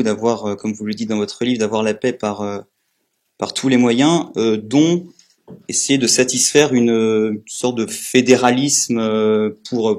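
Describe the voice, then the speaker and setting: A man from France moderating a live public talk, asking questions in a halting way and searching for words. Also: mid-range voice, darkish tone. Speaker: moderator, interviewer. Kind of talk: live public interview, semi-prepared questions.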